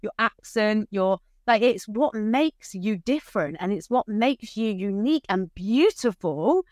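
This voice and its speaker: A woman communication coach, chatting casually and animatedly, with a deep voice, acting out quotes. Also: unhurried, no room sound, clean studio sound. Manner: animated, acts out quotes with rising pitch. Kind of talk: casual conversation.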